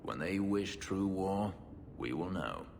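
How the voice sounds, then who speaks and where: A man voicing a brooding, bitter warrior in a video game, speaking in a measured, grave, dryly sardonic way. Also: low voice, lively intonation, gravelly, in a large hall, background noise, full character voice. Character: brooding, bitter male warrior from a slave-keeping empire.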